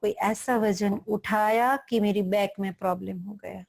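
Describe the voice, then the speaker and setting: A woman with an Indian accent leading a guided meditation, speaking deliberately in short phrases with long pauses between them. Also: medium pitch, fairly even intonation, vocal fry, darkish tone.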